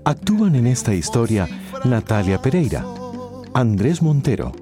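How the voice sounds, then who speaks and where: A man's voice: medium pitch, big pitch swings, normal speed, music in the background. A man reading scripted credits as the announcer of a radio drama. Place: recording studio.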